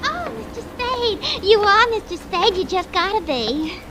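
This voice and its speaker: An actress playing a flustered young relief secretary who puts on prim, grand airs in a 1940s radio detective play. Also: female voice, extremely high pitch, sing-song, unhurried, over music, full character voice. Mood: flustered, gushing. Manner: prim, affected, muddles words and malapropisms. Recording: old 1940s radio recording, faint noise.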